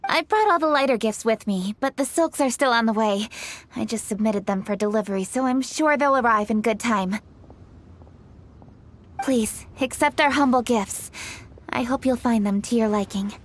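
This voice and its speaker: A woman's voice: fairly high pitch, normal speed, a little hiss or hum, close to an everyday voice. An actress voicing a courteous, dutiful young noblewoman official in a video game, formal and composed.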